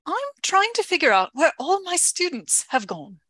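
A genteel, fretful lady professor acted out by a woman, high in pitch and very animated. She is polite and earnest, a little hesitant, in an old-fashioned melodramatic radio play style, recorded close and dry. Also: moderate pace, dry recording. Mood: worried, earnest. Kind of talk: melodramatic radio drama acting.

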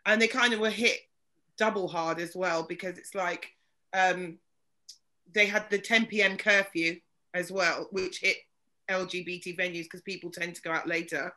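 A woman's voice: lowish voice, steady tone, moderate pace, sharp tone. A woman hosting a casual video-call chat, talking loosely with lots of fillers. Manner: chatty, loose with many fillers. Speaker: host.

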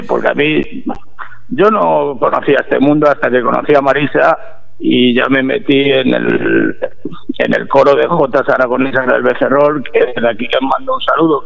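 Hoarse, very gravelly man. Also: mid-range voice, lively intonation, normal speed, muffled recording. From Bujalance, Andalusia, Spain. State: hoarse after little sleep. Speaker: radio guest. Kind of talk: casual live radio interview. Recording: radio show with faint music.

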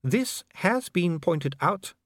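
A man voicing a calm dwarf official with a measured, bureaucratic, smoothly courteous manner in an audiobook. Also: high voice, no echo, clean studio sound, voice pushed up, exaggerated voice. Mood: coolly polite. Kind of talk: audiobook character voice.

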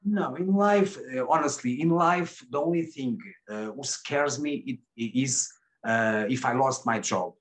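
A man's voice: medium pitch, measured pace. Hesitant middle-aged man, stumbling and repeating. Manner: hesitant, restarts and repeats words often. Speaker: webcam broadcaster, guest. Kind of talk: candid interview answers.

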